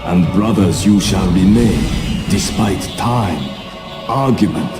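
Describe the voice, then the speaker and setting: An actor voicing an elderly rat sensei, a calm, wise father figure speaking in a measured, formal way for a game cutscene. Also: low-pitched, over music. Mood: solemn, tender. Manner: measured, solemn, formal storytelling. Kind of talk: video game cutscene acting.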